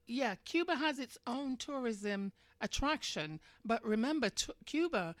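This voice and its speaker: A woman's voice: on the high side, expressive, unhurried, no echo. Woman radio host, dry studio sound. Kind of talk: live internet radio commentary, addressing chat room.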